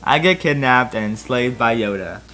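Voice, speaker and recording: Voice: mid-range voice, animated, normal speed, a bit bright. A person giving a comedic dramatic reading of fan fiction, giggly and breaking into laughter between asides.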